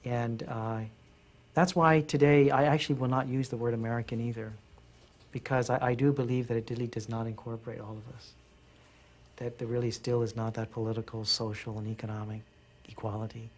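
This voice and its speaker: Husky-voiced man leading a group talk. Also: mid-range voice, level tone, brisk. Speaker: discussion facilitator. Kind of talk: group discussion.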